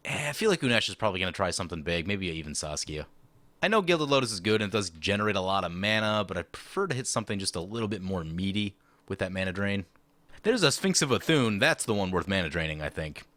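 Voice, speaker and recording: A man with a slightly hoarse, lowish voice talks through a card game as he plays it, weighing each move aloud. His delivery swings widely in pitch, and his tone is bright and forward. The sound is close and dry. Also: dry recording. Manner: thinks aloud through his moves, chatty and explanatory. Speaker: channel host. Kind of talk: play-by-play card game commentary.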